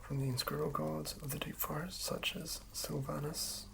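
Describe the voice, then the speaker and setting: A host whispering in a breathy, hushed ASMR style, reading aloud and commenting casually in an unhurried way. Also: medium pitch, flat delivery, background noise. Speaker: host reading and building a tabletop game character.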